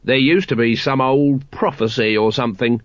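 A man voicing a plain, earnest, slow-witted male cult member, in a dry, dull recording. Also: medium pitch, dry recording, natural voice.

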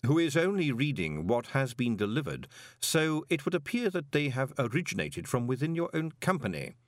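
Narrator playing a cool, menacing ruler; level, measured, dry close sound. Storybook. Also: male voice, mid-range voice, no room sound, pitched above the performer's own voice, close to an everyday voice. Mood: cold. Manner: calm, measured, quietly menacing. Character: calm, icy, commanding male ruler.

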